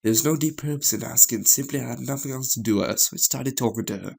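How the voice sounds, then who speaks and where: A man with a noticeably creaky, gravelly voice at an ordinary middle pitch reads a visual novel aloud. His delivery is halting, as he trips on words and goes back over phrases while narrating. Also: a little mellow. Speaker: gaming channel host reading a visual novel aloud.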